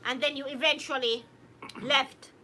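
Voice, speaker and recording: Very high, bright woman. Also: a little slow, a little hiss or hum. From Jamaica. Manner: laughs often, animated, addresses viewers by name. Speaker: co-host reading viewer comments. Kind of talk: casual live-stream chat.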